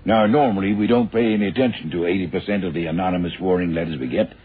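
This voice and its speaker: An anxious, brisk official in an old radio thriller. His low voice is very rough and husky, swinging emphatically as he explains, through a thin, narrow-band recording. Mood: urgent, grave. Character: worried, brisk male official briefing investigators. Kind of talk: 1970s radio crime drama acting.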